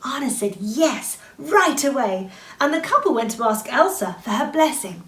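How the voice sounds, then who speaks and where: A woman's voice: high voice, very animated, moderate pace, a bit bright. A woman reading a children's bedtime story expressively, drawing out words for dramatic emphasis. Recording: roomy echo, distant from the mic.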